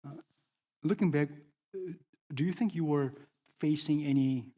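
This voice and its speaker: A man acting as pastor, interviewing a congregant on stage in a lively, teasing way, often restarting his questions. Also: on the high side, highs cut off. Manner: animated, teasing, halting restarts.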